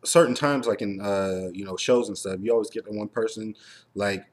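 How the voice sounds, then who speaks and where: Male host, lowish voice, rambling and enthusiastic, informal review discussion. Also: normal speed. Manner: rambling, enthusiastic, filler-heavy.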